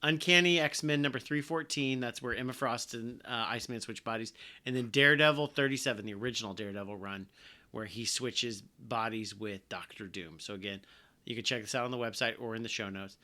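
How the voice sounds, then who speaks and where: A man co-hosting a podcast in casual chat, rambling along and trailing off quietly at the ends of his sentences. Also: medium pitch, fairly quick. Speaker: podcast co-host.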